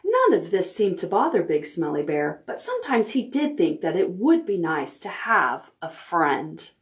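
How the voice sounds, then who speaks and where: A woman's voice: lowish voice, huge pitch swings. Woman, children's librarian, upbeat and encouraging storytime read-aloud; distant camera mic in an echoey room. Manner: cheerful, slow and encouraging, talking to small children. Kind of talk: preschool storytime, reading aloud and counting with kids. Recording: roomy sound from away from the camera mic.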